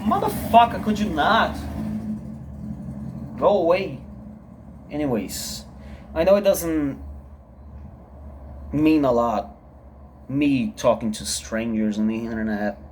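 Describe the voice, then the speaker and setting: A man in his thirties from Brazil, a native Portuguese speaker with a Brazilian accent, talking to camera in a confessional solo vlog, halting and heavy, often repeating himself. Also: fairly high pitch, a little mellow, slight background noise. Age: 30s. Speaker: channel creator. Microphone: camera recording himself.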